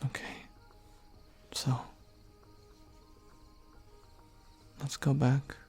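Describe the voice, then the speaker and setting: A man voicing a devoted young knight who earnestly confesses his love, speaking softly and haltingly. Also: medium pitch, completely flat delivery, faint music behind. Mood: reassuring. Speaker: voice actor. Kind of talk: audio roleplay acting.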